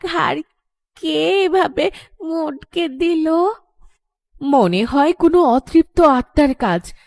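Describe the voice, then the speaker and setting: A plaintive village woman from a horror cartoon, voiced by an actress. Her voice is very high and she speaks slowly and emotionally, in a dry recording. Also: creaky, no room sound, full character voice. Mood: fearful. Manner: plaintive, drawn-out. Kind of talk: Bengali horror cartoon voice acting.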